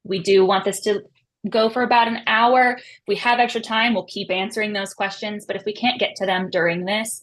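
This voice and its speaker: A woman's voice: medium pitch, a bit bright. A woman moderating a webinar, giving housekeeping notes and introducing the speaker in a friendly, organized way. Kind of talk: webinar hosting.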